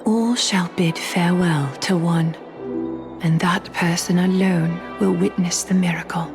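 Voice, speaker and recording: An actress playing a grave, commanding noblewoman in a game, speaking formally and deliberately. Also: lowish voice, fairly even intonation. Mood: grave, pleading. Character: grave, commanding noblewoman addressing her old teacher. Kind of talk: English game voice acting. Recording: loud music behind.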